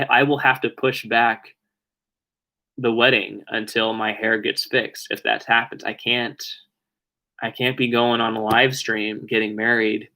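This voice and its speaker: A young man streaming live, talking casually and at length with his audience. He often restarts his sentences and lets them trail off quietly. After an hour of talking, his mid-pitched voice has turned a little raspy. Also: rough recording. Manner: rambling, self-correcting, trailing off quietly. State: voice getting raspy from long talking. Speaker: live streamer answering viewer questions.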